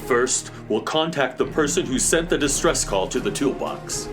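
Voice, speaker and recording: A man's voice: mid-range voice, animated, moderate pace, over music, lightly acted. An actor playing a lofty, all-knowing cosmic handyman in a children's radio drama, speaking formally and pompously. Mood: stern.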